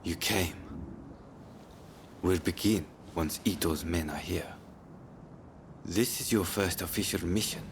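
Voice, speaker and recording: A man's voice: deep voice, slow pace, long pauses, a little hiss or hum. A man playing a stern, watchful samurai captain in a game, terse and controlled in his speech. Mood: grim, resolute. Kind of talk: English game voice acting, cutscene dialogue.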